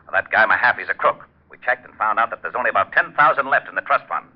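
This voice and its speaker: A man acting an earnest, brisk, no-nonsense police detective in an old-time radio crime drama. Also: lowish voice, animated. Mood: businesslike. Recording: dull vintage radio recording.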